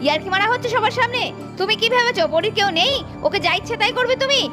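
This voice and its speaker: A woman narrating a cartoon story and voicing several characters, with sharp scolding lines, over background music. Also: extremely high pitch, lively intonation, very fast. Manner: scolding, shifts between narration and character voices. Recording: music underneath.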